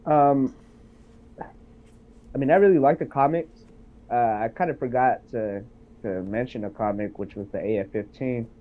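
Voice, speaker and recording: A congested man speaks hesitantly over a video call, stopping often with ums. His voice comes through thin and tinny, like a phone line, at a middle pitch. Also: moderate pace, long pauses. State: congested from being sick. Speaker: guest. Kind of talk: casual chat on a call.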